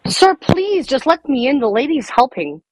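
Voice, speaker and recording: A woman making a prank phone call, speaking haltingly and apologizing often. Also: high-pitched, very animated, heavy background noise. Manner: halting, overly polite and apologetic. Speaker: prank caller.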